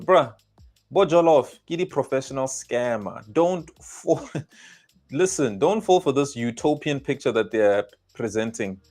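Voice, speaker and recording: Emphatic South African man, scolding. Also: mid-range voice. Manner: emphatic, scolding, repetitive warnings. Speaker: commentator. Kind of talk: heated political commentary.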